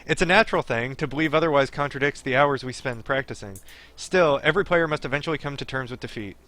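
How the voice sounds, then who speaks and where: A man's voice: medium pitch, fast talker, pushed and bright. A man streaming a game, reading story text aloud and breaking off into chatty, digressive commentary. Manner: chatty, digressive asides while reading narration. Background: game music.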